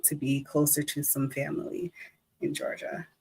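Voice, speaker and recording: A woman's voice: deep voice, steady tone, a little slow, gravelly, slightly rough, poor audio. A woman in her thirties from New York, a guest artist talking casually and modestly, laughing often. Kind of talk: casual interview.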